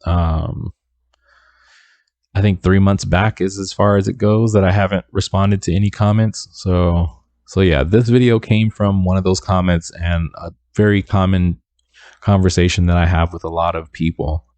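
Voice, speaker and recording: A young man with a soft, deep voice, casually giving music career advice to his viewers and often checking in with "right?". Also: normal speed, no echo. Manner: relaxed, conversational, repeats points with "right?".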